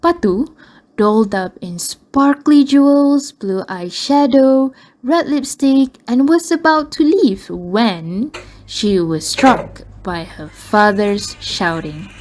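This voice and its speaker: A woman's voice: fairly high pitch, slow, a little mellow. A woman reading scripted narration for a radio drama.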